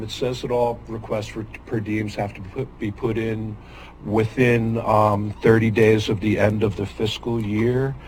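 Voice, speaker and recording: A man's voice: medium pitch, darkish tone, a little hiss or hum, poor audio. An older man with a mid-range voice addresses a public board as a former auditor. He talks methodically, quoting policy text and stopping often for ums and restarts. He speaks at a podium mic in a meeting room. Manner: methodical, reads policy wording aloud, many ums and restarts.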